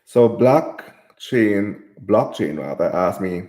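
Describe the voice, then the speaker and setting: A man's voice: lowish voice, lively intonation, takes it slowly, darkish tone, echoey room. A man from Jamaica hosting a live stream, reading viewer comments aloud and answering them in casual chat.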